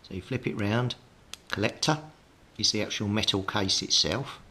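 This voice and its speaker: A man's voice: fairly low pitch, normal speed, bright, forceful tone. A British man talking through hands-on electronics repair as a hobbyist host, practical and explaining things step by step. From Britain. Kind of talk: hands-on repair tutorial, talking while working.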